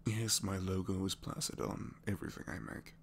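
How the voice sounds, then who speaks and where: A man's voice: low voice, flat delivery, brisk, creaky. A man voicing a reluctant captured supervillain, dry, sheepish and complaining, in a hesitant, rambling way, for an intimate ASMR roleplay. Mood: resigned, confiding. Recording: faint background noise.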